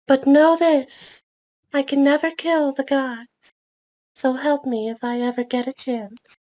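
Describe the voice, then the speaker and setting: A celestial goddess, acted by a woman with a very high voice. She is formal, grave and commanding, like an ancient being in an amateur fantasy drama read. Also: fairly even intonation, takes it slowly, a little mellow, poor audio.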